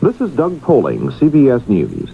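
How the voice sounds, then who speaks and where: A man's voice: medium pitch, huge pitch swings, moderate pace, gravelly, phone-quality sound, soft background music. A man reading scripted news as a radio anchor on a 1970s broadcast.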